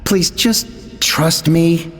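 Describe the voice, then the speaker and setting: An actor voicing an earnest, weary young son who pleads, in a video game, with long reverb. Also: male voice, a little high, drawn-out words, soft background music. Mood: desperate pleading.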